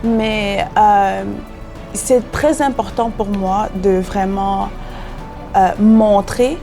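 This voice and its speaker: A woman's voice: medium pitch, fairly even intonation, extremely slow, dark tone, soft background music. A young woman from Cameroon, a singer-songwriter guest, talking reflectively in a casual interview with many fillers and restarts. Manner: reflective, frequent fillers and restarts, laughs easily.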